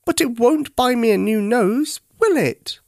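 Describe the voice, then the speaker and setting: A storyteller voicing an earnest, forlorn little male toy bear in a plaintive, childlike, expressive way for a children's story. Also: medium pitch, unhurried, no room sound, studio quality, voice pushed up. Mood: glum.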